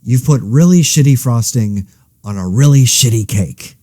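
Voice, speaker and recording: Mellow, wry, exasperated man podcasting as an actor. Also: mid-range voice, animated, normal speed, no echo, clean studio sound, close to an everyday voice. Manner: wry, rambling, self-interrupting. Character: exasperated, anxious everyman podcaster during a zombie epidemic. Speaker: host of a fictional monologue podcast. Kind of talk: conversational audio-drama monologue.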